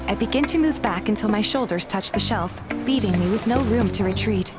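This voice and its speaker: A woman's voice: medium pitch, brisk, natural voice. A woman playing a guarded, feisty young heroine, narrating a romance game in the first person and acting out her dialogue. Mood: tense, reflective. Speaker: narrator and lead in a fan dub. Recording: music behind the voice. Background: music.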